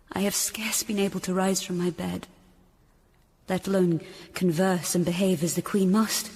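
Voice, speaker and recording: An actress playing a fearful yet resolute Tudor queen in a period radio drama, hushed, breathy, formal and halting. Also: mid-range voice. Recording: long reverberant hall.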